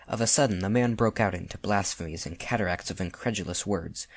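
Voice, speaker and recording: Narrator for literary audiobook: man, very low voice, close dry recording. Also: a little fast, no room sound.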